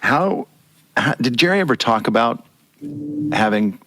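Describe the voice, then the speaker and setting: A man's voice: medium pitch, expressive, unhurried. A man hosting an interview podcast, asking curious, meandering questions with frequent "uh"s. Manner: warm, curious questioner with many "uh"s. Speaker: podcast host. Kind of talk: interview conversation.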